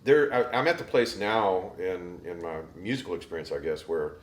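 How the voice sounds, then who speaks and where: A male singer as a guest in a casual interview, speaking haltingly and repeating words before finishing his thoughts. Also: low voice, animated. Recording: roomy with faint ambience.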